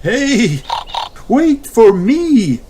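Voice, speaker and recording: A man's voice: very high-pitched, sing-song, extremely slow, background noise, voice pushed up, full character voice. A man voicing a grown-up mummy pig who exclaims and mildly protests in a children's storybook. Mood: protesting.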